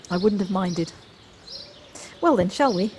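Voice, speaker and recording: A woman's voice: mid-range voice, expressive, measured pace, natural voice. An actress playing a polite, wistful city woman in an audio play, reflective and a little hesitant. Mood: polite, grateful. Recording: home device recording, light background noise.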